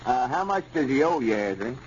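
A self-important backwoods storekeeper acted by a man brags away in a folksy rural Arkansas accent. His voice sits at an average pitch, pompous and pleased with itself. It comes from a 1930s radio comedy recording, muffled, with light scratchy noise. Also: sing-song, brisk, lightly acted. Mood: eager, self-important. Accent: Southern US, rural Arkansas.

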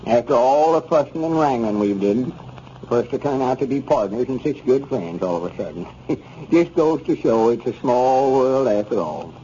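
A folksy rural Southern storekeeper, acted by a man for 1930s radio comedy, with a relaxed, mellow voice. He is gullible and pleased with himself, rambling through homespun sayings. The recording is dull, with faint noise. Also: mid-range voice, normal speed, lightly acted. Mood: sheepish, amused. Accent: rural Southern American. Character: folksy, gullible, self-important country storekeeper.

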